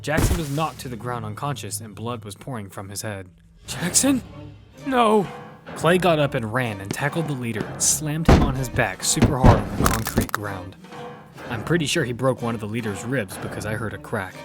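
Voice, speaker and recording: A man reads dramatic first-person action fiction in an earnest storytelling way, at an everyday male pitch. Loud music runs right behind his voice. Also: brisk. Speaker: first-person narrator and character in an audio fiction.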